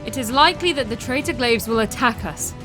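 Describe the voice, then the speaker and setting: Woman acting a commanding, high-voiced warrior princess. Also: animated, fairly quick, over music, close to an everyday voice. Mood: grave, commanding. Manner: terse, authoritative, formal orders. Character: fierce commanding warrior princess, vengeful and resolute. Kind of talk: fan audio drama acting.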